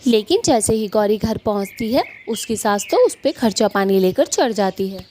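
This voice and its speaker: Woman, cartoon narrator, steady storytelling, warm mellow tone, faint background noise. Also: mid-range voice, normal speed.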